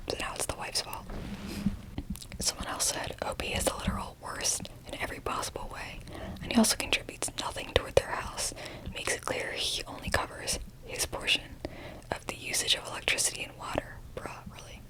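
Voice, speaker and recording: A woman's voice: brisk, rough recording. Close whispering woman reading aloud. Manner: whispered, wry asides between read posts. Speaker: ASMR reader. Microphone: close-up mic.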